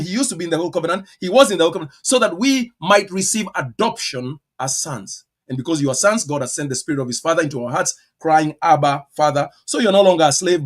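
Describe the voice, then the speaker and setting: A man with a Kenyan accent preaching a teaching sermon, stressing words and firing rhetorical questions, with sudden loud bursts and quiet asides. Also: fairly high pitch. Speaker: pastor.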